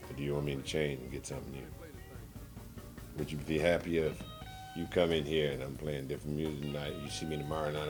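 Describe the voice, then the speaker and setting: A man who sings and plays blues guitar, talking in an interview in an emphatic, colloquial, demonstrative way. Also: a bass voice. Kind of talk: casual interview.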